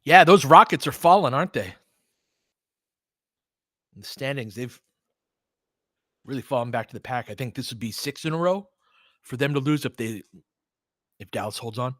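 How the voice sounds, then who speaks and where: A man's voice: mid-range voice, expressive, normal speed, long pauses, a bit bright, no echo, studio quality. An American man hosting live basketball commentary, talking casually and thinking aloud, with emphatic stresses as he chats with listeners. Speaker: sports show host and game commentator.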